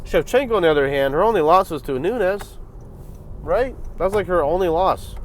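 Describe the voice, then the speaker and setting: A man hosting a reaction video, giving casual, profane commentary on a fight, jumping from loud bursts to low mutters. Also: animated, normal speed, a little hiss or hum.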